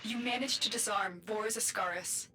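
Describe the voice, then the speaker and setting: A woman playing a concerned, commanding mission guide in a video game, speaking in a measured, earnest way. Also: sharp tone, lightly acted. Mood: concerned, urgent. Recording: very noisy game audio, vehicle-like rumble.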